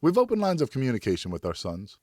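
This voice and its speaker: A man's voice: mid-range voice, wildly swooping pitch, fast, dark tone, no echo, clean studio sound, voice pushed up. Man playing a weary, placating French nobleman husband. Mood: reasoning. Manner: placating, reasoning, earnest. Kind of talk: audiobook character acting.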